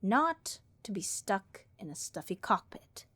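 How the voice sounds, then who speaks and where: A snarky harpy airline copilot, played by a woman, chatty and thoroughly bored. The voice is raspy with vocal fry, mid-pitched and recorded very dry. Lines come out as overdramatic, deadpan grumbling in a comedy audio roleplay. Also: no room sound, heavily acted. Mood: bored, complaining. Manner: overdramatic, deadpan complaining with drawn-out emphasis. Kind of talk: comedic audio roleplay monologue addressed to listener.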